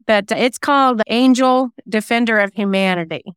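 Clear-voiced woman chatting as a guest. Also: fairly high pitch, normal speed, no echo, studio quality. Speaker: interview guest, singer-songwriter and novelist. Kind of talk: casual interview chat.

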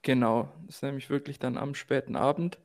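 A man co-hosting a casual football podcast, giving measured analysis with frequent hesitations. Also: mid-range voice, steady tone, a little mellow. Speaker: podcast co-host.

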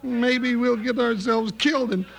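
An actor playing a grumbling, jittery, food-loving cowboy sidekick with a folksy, excitable way of talking, in an old-time radio western. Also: male voice, very high-pitched, measured pace, gravelly, heavily acted. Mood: nervous. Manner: folksy drawl, complaining, excitable. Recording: vintage radio recording with music.

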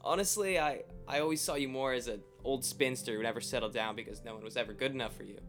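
A man's voice: medium pitch, quick pace, a bit bright, soft background music, lightly acted. An actor playing a teasing, affectionate younger man recording an informal message to his sister in a radio play. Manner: chatty, wry, joking. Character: Greg, teasing affectionate young brother of the bride.

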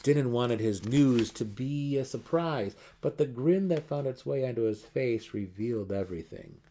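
A man's voice: medium pitch, expressive, normal speed, mellow. A man reading a fantasy novel as a narrator in a theatrical, emphatic way. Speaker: audiobook narrator.

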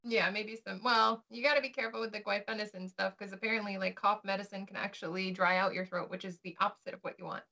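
Woman, hoarse, gravelly fry, bright tense tone, casual co-host chat. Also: mid-range voice, fairly even intonation, fast talker, few pauses, dull audio. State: hoarse, recovering voice. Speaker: show co-host.

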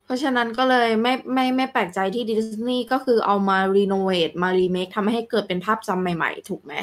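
A woman's voice: on the high side, fast. A woman co-hosting a podcast, chatting casually in an explanatory, conversational way. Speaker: podcast co-host. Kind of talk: casual chat.

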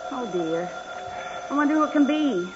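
Fussy, high-voiced radio-comedy mother. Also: lively intonation, moderate pace. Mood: fretful, resigned. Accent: American. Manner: fretful, fussing. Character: fussy, scatterbrained, protective middle-aged mother and wife. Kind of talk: 1940s radio comedy acting. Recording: muffled hissy 1940s radio broadcast.

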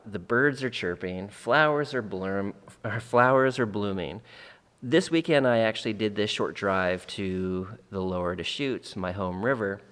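Man podcast host in a long reverb. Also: lowish voice. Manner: reflective, repeats phrases for emphasis. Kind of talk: podcast monologue and interview. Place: Central Oregon.

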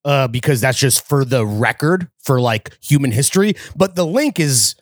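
A man's voice: mid-range voice, normal speed, no room sound, studio quality. A man co-hosting a podcast, riffing in casual, profane banter. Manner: animated, profane, jokey riffing. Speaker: podcast co-host. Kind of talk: casual chat.

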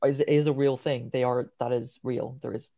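A man's voice: medium pitch, flat delivery, normal speed, creaky, muffled recording. A man with a Northern Irish accent co-hosting a podcast, chatting casually about a film with lots of ums and the odd swear. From Northern Ireland. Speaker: podcast co-host.

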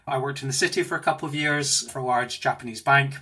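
A man's voice: medium pitch, fast, breathy edge. A man in his forties with a Glaswegian accent, an enthusiastic guest telling stories about his career in a podcast interview from his home office. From Scotland. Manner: animated, enthusiastic storyteller. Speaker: guest, tech executive.

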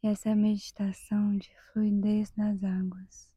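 A Brazilian woman leading a guided meditation in a soft, breathy, half-whispered voice, calm and soothing, with long measured pauses. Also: medium pitch, no room sound.

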